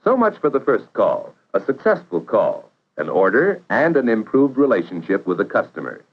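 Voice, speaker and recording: A man narrating a 1950s educational film in a measured, instructive way, posing questions to the viewer. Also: fairly low pitch, big pitch swings. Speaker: narrator of vocational training film. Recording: dull vintage 1950s film soundtrack.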